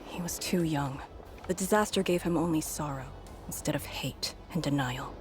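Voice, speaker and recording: A woman voicing a grave, resolute veteran leader in a video game, speaking in a measured, solemn way. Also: low-pitched, a little mellow, soft background music, close to an everyday voice. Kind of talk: scripted video game dialogue.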